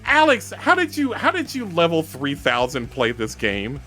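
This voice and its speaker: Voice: mid-range voice, wildly swooping pitch, moderate pace, sharp tone, faint music behind. Someone acting as game director in an improvised tabletop roleplaying session, chatting in a joking, theatrical way.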